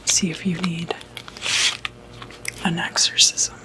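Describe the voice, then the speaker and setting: Slow whispering woman, ASMR doctor roleplay. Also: fairly low pitch, little pitch movement, pushed and bright, rough recording. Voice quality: breathy, unvoiced whisper. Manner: hesitant, reassuring bedside manner. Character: calm, reassuring doctor who treats demonic possession. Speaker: ASMR performer playing a doctor.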